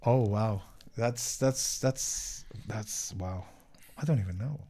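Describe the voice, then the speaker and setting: Man, Portuguese accent, warm mellow tone, animated, stumbles and repeats words, casual interview. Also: lowish voice, slow. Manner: animated, repeats words while searching for them. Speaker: guest musician.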